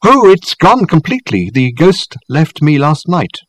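Man acting elderly eccentric scholar, animated swooping pitch, halting digressions, amiable, storybook dialogue. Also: dry recording. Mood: hesitant, reflective. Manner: halting, digressive, full of hesitant ums. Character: dithering, eccentric elderly male academic, amiable and rambling. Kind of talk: audiobook character acting.

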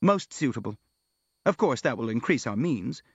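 A man voicing a bold young woman in an audiobook, brisk, frank and mockingly businesslike in her questioning. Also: medium pitch, very animated, a little mellow, breathy edge, dry recording, highs cut off. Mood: mock practical.

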